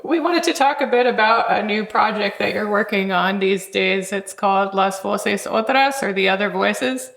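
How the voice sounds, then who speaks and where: A woman podcast host speaks in a medium-pitched voice with noticeable creak. She delivers prepared introductions and interview questions. The sound is roomy, with audible echo. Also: moderate pace, hardly any pauses, fairly bright tone.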